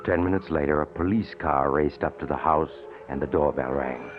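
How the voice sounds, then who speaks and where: A man's voice: rumbling low, fairly even intonation, normal speed, music in the background. A man narrating a suspense tale in a grave, dramatic vintage radio style. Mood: tense. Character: grave male narrator of mystery tales. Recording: noisy, tinny old radio recording.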